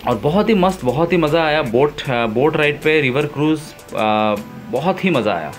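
A man narrating his own travel vlog in a relaxed, enthusiastic way, pausing often with fillers, filmed on a phone outdoors on a river boat. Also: on the high side, faint music behind. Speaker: travel vlogger.

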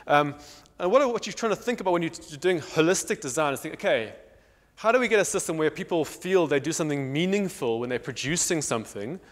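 A man in his thirties with a South African accent, giving a public lecture, thinking aloud with many restarts and fillers. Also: a little high. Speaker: guest speaker. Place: large hall with marble wall. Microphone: lapel. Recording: long hall reverb.

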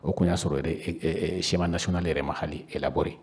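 A man's voice: very deep voice, fairly even intonation, quick pace, darkish tone, husky. A man in his fifties with a Malian accent, explaining as a panel guest in a hesitant way, often repeating words. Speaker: regional council official, panel guest. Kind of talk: panel discussion, explaining in Bambara mixed with French. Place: indoor panel room. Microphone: handheld microphone with foam cover.

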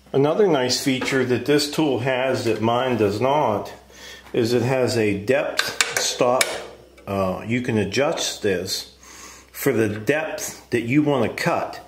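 A middle-aged American man talks through a casual hands-on demonstration. His manner is plainspoken and folksy, with a mid-pitched voice. It is recorded in a roomy workshop shed with echo and noticeable noise behind him. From United States. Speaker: homestead vlogger reviewing a tool. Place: open wooden workshop shed. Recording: roomy echo, clear background noise, clatter.

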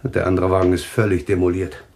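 An actor playing a composed, cryptic man with eerie visions of death in a German radio thriller, speaking deliberately. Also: low-pitched, little pitch movement, a little mellow. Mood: horrified trance. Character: calm, eerily taunting man claiming visions of deaths.

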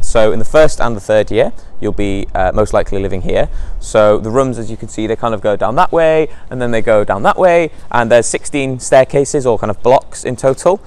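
A man's voice: medium pitch, a little mellow, smooth. A young man from Wales, giving a casual, upbeat walking tour of his college on a lapel mic. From North Wales. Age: young adult. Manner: upbeat, chatty, many ums and likes. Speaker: college outreach officer. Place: college campus, outdoors and indoor halls.

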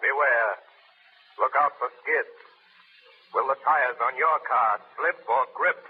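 A man's voice: medium pitch, takes it slowly, husky. A man giving a grave warning in an old-time radio sponsor message. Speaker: radio announcer. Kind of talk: 1930s radio ad read. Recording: tinny old radio broadcast recording.

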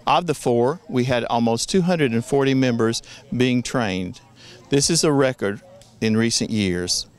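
A man's voice: medium pitch, smooth. A man in his sixties, a district governor, giving an earnest prepared address outdoors in the woods on a handheld mic. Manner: earnest, measured, with small restarts. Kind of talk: prepared address to club members. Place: outdoors in a wooded forest. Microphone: handheld mic held near the chin.

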